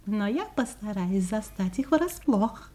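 A woman's voice: medium pitch, sing-song, measured pace, warm tone. A woman playing a sly, self-assured fox in an audio fairy tale, musing to herself in a scheming, self-satisfied way. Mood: smug, scheming. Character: sly, self-assured female fox disguised in old women's clothes.

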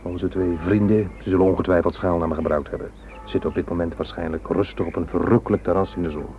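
A male actor playing a wry, resigned, suspended British police inspector in a radio drama, speaking in a measured, world-weary way. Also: deep voice, fairly even intonation. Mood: dry irony. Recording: old radio recording, narrow band, background noise.

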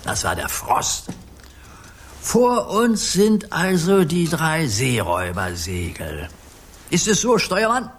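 A man's voice: fairly high pitch, huge pitch swings, takes it slowly, slightly rough, exaggerated voice. An actor playing an old grandfather sea captain in a children's radio play, teasing and dry in his banter. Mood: playful. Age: older.

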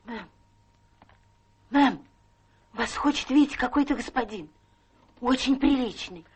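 High-voiced, devoted maidservant. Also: female voice, slow, a little mellow, slightly rough, heavily acted. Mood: flustered. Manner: deferential, emotional. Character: devoted, soft-hearted maidservant, respectful and pleading. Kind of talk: Russian radio drama stage acting.

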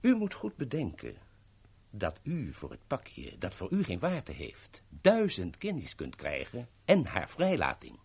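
An actor in a 1970s radio play voicing a smooth, sly henchman who negotiates with polite, teasing mock courtesy. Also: on the low side, huge pitch swings, unhurried, a little mellow, heavily acted. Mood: mock regretful. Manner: polite, formal, teasing understatement.

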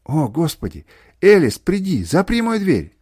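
Мужчина озвучивает перепуганную лежачую старую мать, которая хрипло и настойчиво зовёт на помощь в аудиорассказе. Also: male voice, high voice, lively intonation, a little fast, a little mellow, no room sound, clean studio sound, pitched above the performer's own voice. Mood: terrified pleading. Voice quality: hoarse. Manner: wailing, repetitive calls of alarm. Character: panicked bedridden old mother crying for help.

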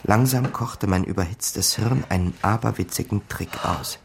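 A man's voice: lowish voice, normal speed, gravelly, background noise. A man acting as a wry small-time crook, narrating his own misadventure dryly in a radio play. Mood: wry, tense. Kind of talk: scripted first-person narration in a crime radio play.

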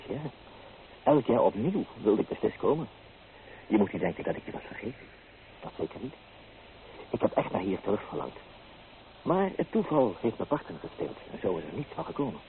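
An actor playing a teasing, free-spirited young painter in an old Dutch radio play, with theatrical delivery. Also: male voice, lowish voice, very animated, a little fast, long pauses. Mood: warm, eager. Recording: muffled, noisy old radio recording.

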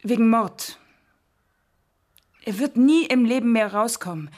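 A woman's voice: on the high side, lively intonation, unhurried, darkish tone. An actress playing a haunted, weary mother in a radio play, confiding in a hesitant, despairing way. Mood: fearful, confiding.

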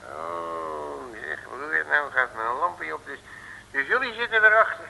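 A man playing a cheeky, unflappable detective in an old radio play, folksy and sardonic, with a gravelly voice. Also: medium pitch, big pitch swings, unhurried, pushed and bright, slight background noise, full character voice, poor audio. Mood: mocking. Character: cheeky, unflappable working-class male detective. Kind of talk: 1950s Dutch radio play acting.

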